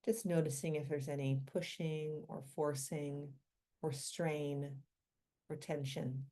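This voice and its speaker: Low, mellow woman guiding a meditation. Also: steady tone. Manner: gentle, unhurried, with long pauses between short phrases. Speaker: meditation guide and physician. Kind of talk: guided meditation.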